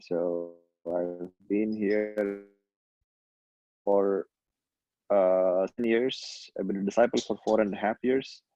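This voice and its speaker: A man's voice: on the low side, steady tone, slow pace, long pauses, warm tone. A man hosting a church video call, calling on speakers in turn and answering them with brief, encouraging words. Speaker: meeting host. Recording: dry muffled video call audio.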